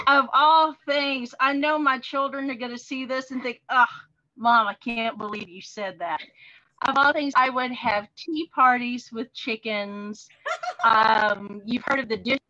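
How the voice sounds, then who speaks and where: A woman's voice: fairly high pitch, unhurried, pushed and bright, no room sound, muffled recording. A woman with a Texan accent, a guest author chatting in an interview and laughing often. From Texas, USA. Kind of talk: casual interview chat.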